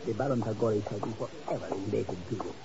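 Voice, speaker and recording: An actor in a 1950s radio drama playing a suave European baron with florid, flattering, elaborately courteous talk. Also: male voice, lowish voice, fast talker, lightly acted. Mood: pleased. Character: suave, flowery, theatrically gallant European baron and rogue. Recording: noisy, muffled old radio recording.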